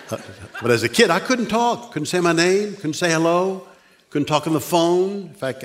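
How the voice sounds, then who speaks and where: An older man giving a sermon as a guest preacher, speaking with a stutter, frequent pauses and restarts, and dry self-deprecating humor. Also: mid-range voice. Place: church sanctuary.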